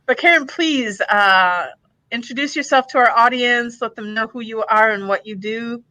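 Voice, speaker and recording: A woman's voice: fairly high pitch, moderate pace, sharp tone, low-quality recording. A woman co-hosting a conversational interview podcast in an upbeat, enthusiastic way. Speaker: podcast co-host, physical therapist.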